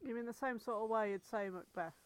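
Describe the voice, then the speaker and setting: A woman's voice: fairly high pitch, fast, no room sound. A woman co-host chatting casually on a podcast.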